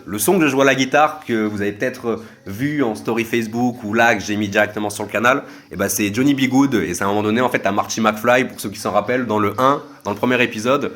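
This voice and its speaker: A young man talks through a casual solo podcast at a slightly low pitch. He rambles, circling back with fillers and restarts as he explains himself. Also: fairly bright tone. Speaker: podcast host.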